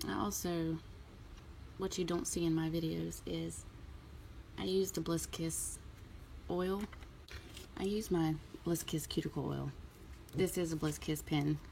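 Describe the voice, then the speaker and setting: A woman in a relaxed, chatty way explaining a nail art tutorial, with plenty of ums. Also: lowish voice, gravelly, a little hiss or hum. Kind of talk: casual tutorial talk.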